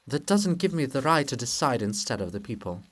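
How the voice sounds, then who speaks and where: A man voicing the statue of an anguished old male poet in a dramatic reading, mournful and slow. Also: mid-range voice, big pitch swings, fairly bright tone, pitched below the performer's own voice. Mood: troubled.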